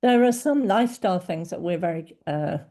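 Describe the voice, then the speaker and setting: Woman doctor host, low-ish mellow voice with some fry, attentive interviewer. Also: lively intonation, brisk. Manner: warm, attentive questioning with frequent backchannels. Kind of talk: podcast interview.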